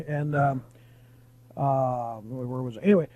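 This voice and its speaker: A man's voice: mid-range voice, fairly even intonation, slow, mellow. A mature man, a veteran radio broadcaster, reminiscing in a rambling, anecdotal on-air chat. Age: middle-aged or older.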